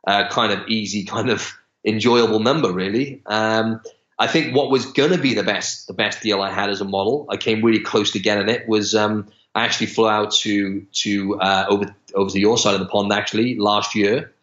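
Young guy on a podcast, lowish voice, lots of ums and restarts, echoey room. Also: steady tone, moderate pace, fairly bright tone. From Wales. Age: young adult. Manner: chatty, many restarts and fillers. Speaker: podcast guest. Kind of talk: casual interview chat. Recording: echoey room, slightly dull sound.

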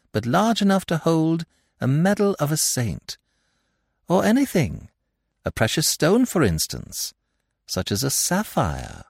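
A man puts on a suave gentleman thief who taunts and teases in a wildly swooping, sing-song voice. He sounds playful and theatrical, sliding between high and low notes. The voice is close and dry. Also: vocal fry, no room sound, full character voice. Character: mocking suave gentleman thief, male adult.

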